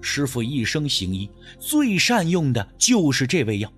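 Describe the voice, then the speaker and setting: A man's voice: medium pitch, huge pitch swings, moderate pace, soft background music. A man narrating a suspense audiobook in a dramatic, scripted style.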